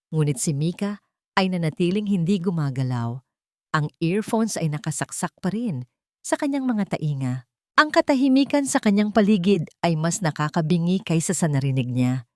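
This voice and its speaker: A woman storyteller reading a dramatic romance in a measured, emotive manner. Her voice sits low, and the close-miked recording is dry with no room sound. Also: darkish tone, no room sound. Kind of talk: dramatic audio story narration.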